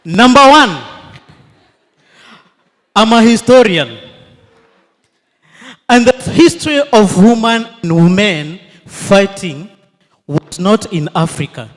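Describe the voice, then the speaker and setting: Guy arguing on a panel, slow emphatic, highish voice, hall echo. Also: expressive. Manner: emphatic, measured points, mixes in Swahili. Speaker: panelist, historian. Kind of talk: impassioned public debate speech. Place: large hall with audience. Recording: long hall reverb.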